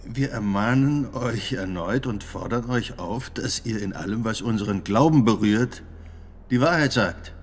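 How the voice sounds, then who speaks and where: An actor in a radio drama playing a stern church judge who interrogates a prisoner in a formal, insistent, authoritative way. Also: male voice, lowish voice, measured pace, breathy edge. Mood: stern, official.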